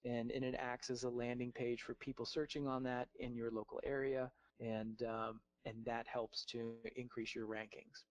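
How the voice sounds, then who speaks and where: A man's voice: medium pitch, little pitch movement, moderate pace, few pauses, no room sound. A man giving a marketing webinar over slides, talking off the cuff with many fillers and restarts. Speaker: marketing presenter.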